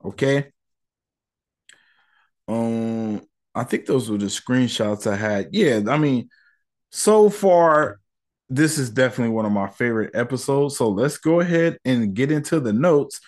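A man hosting a solo podcast, reviewing an episode in a relaxed, chatty way with slang and lots of fillers. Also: medium pitch, unhurried.

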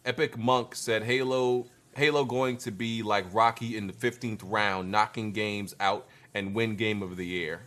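Sex: man. Role: livestream host. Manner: laid-back, reads chat messages aloud with dry asides. Pitch: fairly low. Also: fairly even intonation, unhurried, few pauses, a bit bright. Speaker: host reading viewer super chats.

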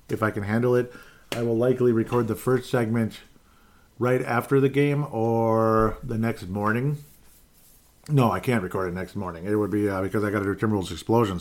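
A man's voice: lowish voice, darkish tone. A man hosting a casual sports podcast, rambling and correcting himself with wry asides. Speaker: podcast host.

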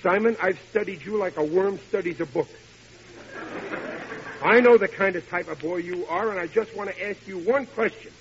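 A man's voice: high-pitched, moderate pace, lightly acted. An actor playing a blustering, dim-witted working-class family father in a 1940s radio sitcom, indignant and folksy with ungrammatical speech. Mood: stern, angry. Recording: hissy vintage radio broadcast, dull.

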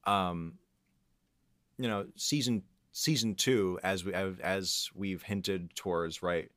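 A man's voice: deep voice, takes it slowly, fairly bright tone, no echo, studio quality. A man telling anecdotes in casual chat as a podcast guest, acting out quotes as he goes. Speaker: podcast guest, composer and audio engineer.

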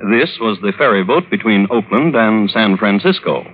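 A man hosting and narrating an old-time radio show in a wry, droll, deliberate storytelling manner. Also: lowish voice, lively intonation. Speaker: host and narrator. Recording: tinny vintage radio recording.

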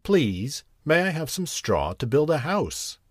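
A man voicing a small, stubborn young pig in a storybook, high and squeaky. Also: very animated, a little slow, dry recording, studio quality. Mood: polite.

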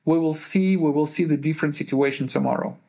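A man from Uzbekistan, a compliance lawyer and podcast guest, answering questions in a measured way, pausing to find his words in non-native phrasing. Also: a little high, vocal fry, darkish tone. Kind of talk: thoughtful conversational answers. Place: remote call, based in Europe.

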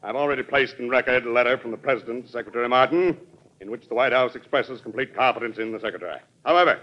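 An actor with an American accent playing a formal, stern senator chairing a hearing in a classic radio drama. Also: male voice, mid-range voice, fast talker, close to an everyday voice. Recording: dull old radio recording.

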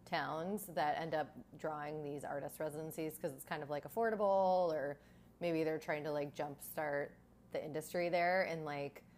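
A woman's voice: deep voice, fairly even intonation, normal speed, darkish tone, slight background noise. A woman hosting a podcast, chatting casually and using a lot of filler words. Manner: chatty, many "like"s. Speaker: podcast host. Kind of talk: casual interview.

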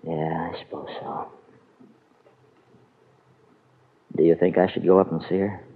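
Tinny old-radio blunt, low-voiced man. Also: flat delivery, fast talker, husky. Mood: uncertain concern. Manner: blunt, exasperated, emphatic. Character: protective, indignant man, blunt and skeptical. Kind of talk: vintage radio soap opera acting.

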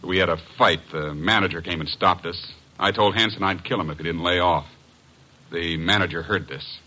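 Deep, husky, earnest detective's client. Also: male voice, normal speed, pushed and bright, rough recording. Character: earnest, anxious male client cleared of murder. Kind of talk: 1940s radio detective drama acting.

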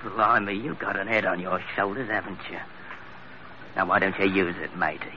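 A man's voice: sits low, steady tone, moderate pace, close to an everyday voice. A man in an old radio drama playing a scrawny, shifty, wheedling crook. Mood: sly, cagey. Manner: slangy, cagey, wheedling. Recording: noisy, muffled vintage radio recording.

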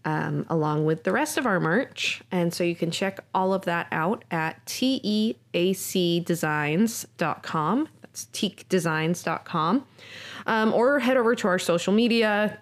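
A woman's voice: lowish voice, gravelly. Upbeat young woman co-host. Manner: upbeat, teasing, laughs easily. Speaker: podcast co-host. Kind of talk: casual chat.

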